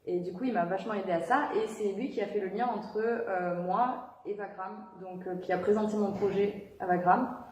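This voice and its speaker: A woman's voice: mid-range voice, fairly even intonation, moderate pace, darkish tone, slight background noise. A young woman singer answering interview questions in a casual, hesitant way, with many fillers and restarts. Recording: echoey room.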